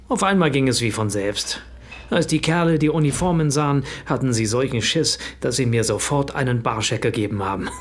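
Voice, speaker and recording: An actor playing a brash, callous business partner in a radio play, blustering and jeering in a coarse manner. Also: male voice, mid-range voice, husky. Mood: bragging. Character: brash, callous male business partner, crude and self-righteous.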